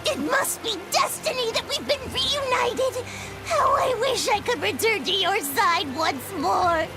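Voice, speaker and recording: Very high, childlike, melodramatic little creature. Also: female voice, expressive, a little slow, full character voice, low-quality recording. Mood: adoring, tearful. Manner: gushing, theatrical. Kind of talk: video game voice acting. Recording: game music under the voice.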